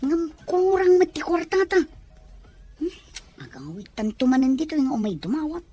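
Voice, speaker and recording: Woman as a high-voiced, sulky bossy sister. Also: very animated, normal speed, dark tone, faint music behind. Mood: grumbling. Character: sulky, bossy student sister, work-shy. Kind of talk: family radio-drama acting.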